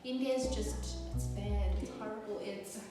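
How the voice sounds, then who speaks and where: A woman's voice: medium pitch, fairly even intonation, moderate pace. A young woman from Lesotho, a guest vlogger in casual chat, playful and teasing. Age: young adult. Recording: echoey room, distant mic, loud music.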